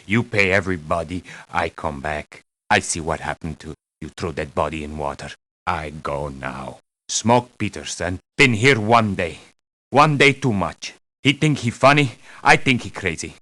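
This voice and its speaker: A very deep, forceful island mercenary. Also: male voice, no echo, exaggerated voice. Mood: offended. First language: not English. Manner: broken English with dropped verbs, short sentences. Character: stern, plain-spoken male island mercenary, easily offended. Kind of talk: video game character voice lines.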